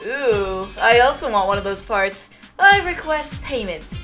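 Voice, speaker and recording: A woman's voice: on the high side, expressive, a little slow, bright, forceful tone, soft background music. A woman voicing a tipsy, teasing young woman in a comic dub, playful and wheedling.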